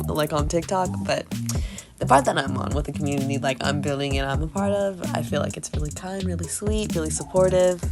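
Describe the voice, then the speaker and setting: A woman art YouTuber chatting casually while sketching, chatty and upbeat with lots of fillers. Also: low voice. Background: background music.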